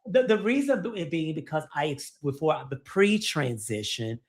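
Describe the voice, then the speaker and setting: A podcast host asking questions in a casual interview, with lively, stammering starts. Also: medium pitch, normal speed. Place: podcast studio.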